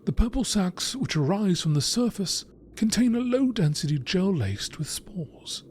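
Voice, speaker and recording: A man with a fairly high voice delivers scripted documentary narration. His reading is measured and expository, yet the pitch moves in a lively way. There is noticeable noise behind the voice. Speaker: narrator reading game text.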